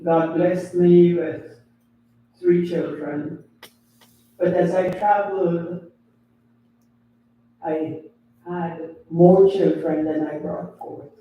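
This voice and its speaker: Slow older man, echoey chapel. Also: a little high, fairly even intonation, highs cut off. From Guyana. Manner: slow, deliberate, pausing between phrases. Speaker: family elder speaking at a memorial. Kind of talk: memorial tribute speech. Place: funeral home chapel. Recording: very echoey hall, distant mic.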